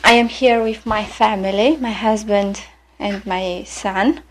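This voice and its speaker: This is a Romanian-accented woman speaking English as her second language. She talks slowly and unhurriedly, and her pitch sits in an ordinary middle range. She halts often to search for words and stops to restart her phrases. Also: fairly even intonation, a little hiss or hum. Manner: hesitant, halting, many fillers and restarts, laughs softly. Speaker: librarian speaking about herself. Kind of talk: unscripted personal monologue for a listening exercise.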